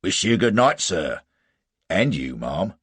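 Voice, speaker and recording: A man's voice: low-pitched, sing-song, slow, slightly rough, no room sound, heavily acted. A man voicing a bluff, loyal British army sergeant with a working-class English accent, hearty and blunt but deferential to officers, in an audiobook story. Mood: firm, hearty.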